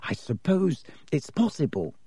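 A man's voice: on the high side, lively intonation, darkish tone, slightly rough, no echo, studio quality, voice pushed up. A man voicing an earnest, cautious and skeptical schoolgirl in an audiobook. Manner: quick, cautious, measured.

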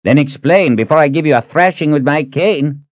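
A man doing a shrill, cranky old wizard. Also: normal speed, no echo, phone-quality sound, natural voice. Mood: indignant. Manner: shrill, indignant, blustering.

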